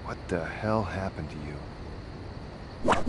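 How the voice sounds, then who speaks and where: A jaded private eye from a video game, with a deep, low voice. He wisecracks drily and sardonically. A clear rumble sits behind the speech. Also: takes it slowly. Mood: bitter. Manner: dry, sardonic.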